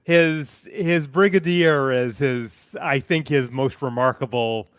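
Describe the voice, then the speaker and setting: A man speaking as a panelist in a casual discussion, analytical and detailed in a measured way. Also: medium pitch, smooth, no echo, clean studio sound.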